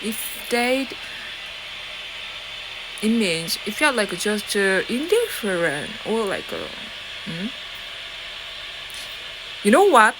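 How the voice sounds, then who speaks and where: A woman's voice: medium pitch, slow, pauses often. A woman with a Korean accent talking straight to her viewers in a rambling, defiant way, swearing and full of fillers and restarts. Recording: loud steady background noise.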